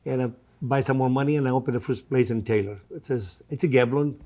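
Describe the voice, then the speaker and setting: Greek guy in his 70s, mellow warm voice, non-native english, repeats himself, chatting in an interview like a restaurant owner. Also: medium pitch, quick pace. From Greece. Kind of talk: conversational interview.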